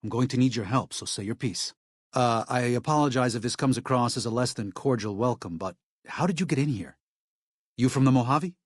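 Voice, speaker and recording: A man acts out a devout missionary from a video game, a gentle man who worries over his flock. His voice sits at a medium pitch, earnest and soft-spoken, with hesitant, pleading phrasing. The sound is close and dry, with no room around it. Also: brisk, no echo, lightly acted. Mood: anxious pleading, then polite, wary. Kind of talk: video game character dialogue.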